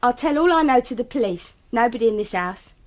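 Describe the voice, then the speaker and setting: Radio drama: jittery servant woman played by an actress, high voice, colloquial and put-upon. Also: animated, fairly quick, close to an everyday voice. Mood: nervous, stubborn. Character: jittery, indignant female kitchen servant.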